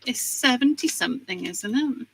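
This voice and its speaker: A woman chatting casually, softly admiring each paper with lots of "oh" and "mm". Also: a little high, expressive, normal speed, breathy edge, rough recording. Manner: admiring, soft reactions, lots of "oh" and "mm". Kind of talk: casual chat.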